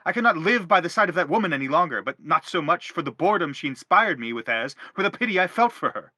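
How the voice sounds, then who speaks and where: A man's voice: on the high side, expressive, fast, bright, forceful tone, no room sound. An actor playing an anguished middle-aged father in a stage drama, pompous, wordy and insistent as he justifies himself. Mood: indignant, exasperated. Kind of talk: theatrical stage drama acting.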